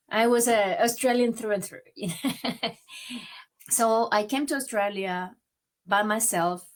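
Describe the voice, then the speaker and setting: A woman from Venezuela with a Venezuelan Spanish accent, a native Spanish speaker, telling her personal story as an interview guest in a reflective way. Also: mid-range voice. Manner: reflective, many "you know" fillers.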